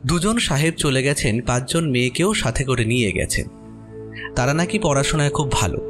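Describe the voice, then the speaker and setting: A man narrating a scripted horror story in a dramatic style. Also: fairly high pitch.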